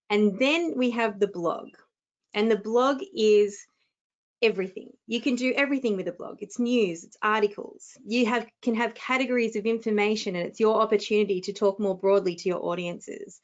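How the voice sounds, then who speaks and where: A woman hosting a webinar, presenting writing tips encouragingly and then interviewing a guest. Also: medium pitch. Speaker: webinar host. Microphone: video call. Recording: dull lo-fi video call audio.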